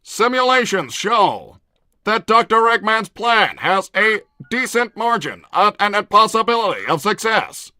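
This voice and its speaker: A war-hungry cartoon robot states its plans in a high, piercing voice. It is stiff and formal, coldly deliberate and free of emotion. The recording is dry and close. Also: male voice, big pitch swings, bright, forceful tone, no echo, exaggerated voice. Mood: analytical. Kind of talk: improvised in-character conversation.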